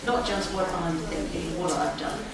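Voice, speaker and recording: A woman speaking slowly and graciously to schoolchildren as a guest speaker. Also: sits low, over a noisy crowd. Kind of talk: talk and Q&A with schoolchildren. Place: school hall. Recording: echoey hall from far off, background noise.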